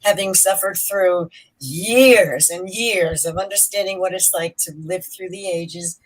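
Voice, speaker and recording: Female, around eighty, lowish voice, emphatic dramatic panelist. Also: measured pace, rough recording. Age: 80s. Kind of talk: self-introduction on a panel.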